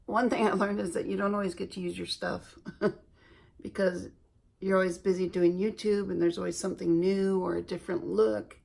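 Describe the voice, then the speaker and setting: A woman from Alaska chatting slowly and self-deprecatingly while doing her makeup for a beauty vlog. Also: mid-range voice, level tone, gravelly, darkish tone. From Alaska, USA. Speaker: beauty vlogger.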